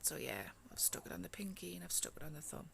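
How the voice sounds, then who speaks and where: Husky woman with a fading voice. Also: low voice, big pitch swings, fast talker, a bit bright. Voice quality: gravelly, often slips into whisper. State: losing her voice, with a cold. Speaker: nail tutorial vlogger. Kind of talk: casual voice-over tutorial. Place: home.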